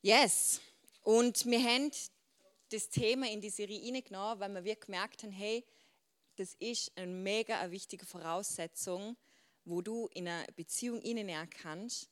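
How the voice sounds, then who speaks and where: A young woman in her twenties gives an informal talk to a group. She has a Swiss German accent and a mid-pitched voice. Her manner is chatty, with English words mixed into her sentences. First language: Swiss German dialect. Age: 20s. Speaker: youth group speaker.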